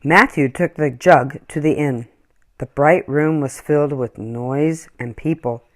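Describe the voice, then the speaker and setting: A woman reading a children's story aloud in an expressive, acted-out storytelling style. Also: low-pitched, a little slow. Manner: animated, acts out dialogue and animal sounds. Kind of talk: expressive scripted storybook narration.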